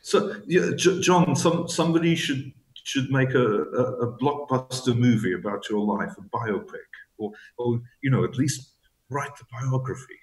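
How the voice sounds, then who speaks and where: A man's voice: mid-range voice, moderate pace, roomy sound, poor audio. A man being interviewed as an author. He talks reflectively, often repeating words as he searches for the right phrase. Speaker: author guest.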